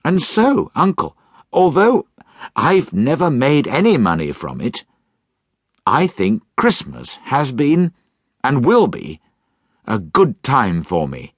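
A man voicing a cheerful, kind young man in a storybook reading, with a warm, pleading manner. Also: medium pitch, wildly swooping pitch, slow, no room sound, pitched above the performer's own voice, exaggerated voice.

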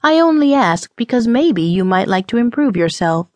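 A woman's voice: a little high, very animated, moderate pace, a little mellow, no echo, voice pushed up. A woman voicing a prim, easily scandalized Old West temperance lady with proper, formal speech, in an audiobook. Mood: prim, indignant.

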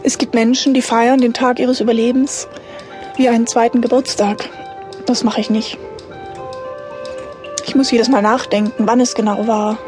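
Higher-voiced woman narrating over music. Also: little pitch movement, moderate pace, long pauses, darkish tone. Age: 30s. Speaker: narrator telling her own life story. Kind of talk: scripted autobiographical audiobook narration.

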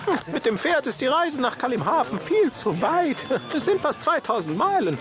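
An actor playing a kindly, cautioning eccentric wizard in a German fantasy audio drama, with an animated manner. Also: male voice, very high-pitched, normal speed. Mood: helpful, cautioning.

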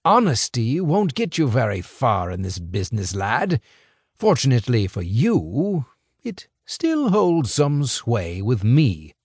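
A smooth crime boss , as a man puts him on drawls out each word slowly and persuasively. His pitch swings widely up and down as he talks. The sound is close and dry, with no room around it. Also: huge pitch swings, a little mellow, no echo, full character voice. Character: smooth, scheming older male crime boss.

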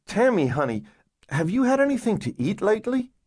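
An audiobook narrator voicing a gentle, coaxing woman social worker who is calmly persistent. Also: medium pitch, a little fast, breathy edge, pitched above the performer's own voice. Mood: gentle, practical.

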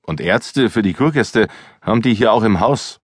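A man's voice: fairly low pitch, expressive, normal speed, breathy edge, no room sound, studio quality. A man voicing a short, portly middle-aged police detective in a matter-of-fact way.

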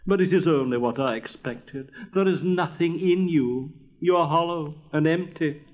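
Man acting a pompous, high-voiced vain rocket. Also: moderate pace, narrow, tinny audio, close to an everyday voice. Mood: indignant, lofty. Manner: pompous, severe, indignant. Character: pompous, vain, self-absorbed male firework rocket, easily offended.

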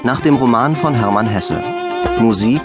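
A man's voice: mid-range voice, normal speed. A man reading scripted radio drama credits as an announcer. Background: loud string music.

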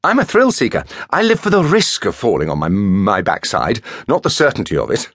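A man's voice: on the low side, wildly swooping pitch, brisk, fairly bright tone, dry recording, clean studio sound, pitched above the performer's own voice, exaggerated voice. A man voicing a theatrical, cocky upper-class teenage schoolboy with an affected drawl. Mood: teasing.

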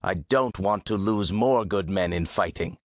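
An actor playing a bold, wary ancient Greek sea captain in an audiobook, with a commanding, declamatory manner. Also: male voice, deep voice, a little slow, no room sound, studio quality. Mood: grave, resolute. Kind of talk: full-cast audiobook acting.

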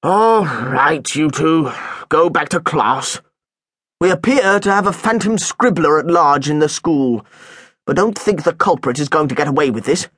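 A man voicing an irritable male school headteacher who snaps and accuses, with a husky voice. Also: fairly high pitch, expressive, unhurried, fairly bright tone, dry recording, clean studio sound, full character voice. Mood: stern. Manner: snapping, impatient, accusing.

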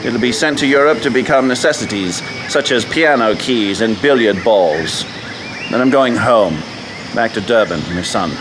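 A seasoned male hunter, played by an actor in a radio adventure, gruff and wryly blunt. His mid-pitched voice sits against noisy outdoor sound effects. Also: expressive, moderate pace, natural voice. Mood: wry, sober. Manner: dry, wry, blunt. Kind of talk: radio adventure drama acting.

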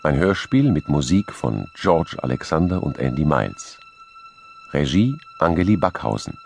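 A man's voice: sits low, wildly swooping pitch, moderate pace, gravelly, a little mellow, heavily acted. A man reading the title and credits of a radio play as a scripted announcement. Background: music.

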